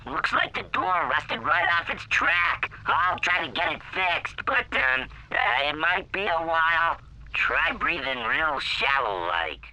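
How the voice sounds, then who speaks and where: An actor voicing a wary alien cave-dweller guide in a video game, with a gravelly, sharp voice. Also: male voice, extremely high pitch, very animated, takes it slowly, exaggerated voice, low-quality recording. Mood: sheepish.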